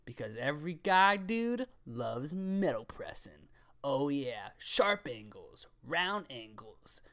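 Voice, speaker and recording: A man's voice: a little high, very animated, slow, fairly bright tone. A man in his twenties giving casual, off-the-cuff reaction commentary into a close microphone, slangy and sweary with playful sarcasm. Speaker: reaction video creator. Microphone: close studio microphone on arm, with headset.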